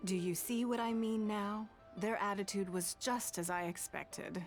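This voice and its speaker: An actress playing a shrewd, composed noblewoman and political leader in a video game, speaking formally and deliberately. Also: medium pitch, natural voice. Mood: cool, businesslike. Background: background music.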